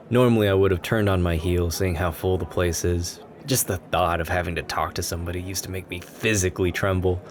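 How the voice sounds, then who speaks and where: Deep-voiced, shy, gentle young-hearted guy acted by a man. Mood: earnest, nervous. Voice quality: gentle, soft-spoken. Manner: anxious, rambling, earnest. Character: shy, sweet, nervous writer chatting up a café stranger. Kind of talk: ASMR roleplay, conversational ramble. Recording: noticeable coffee shop ambience noise. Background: coffee shop ambience.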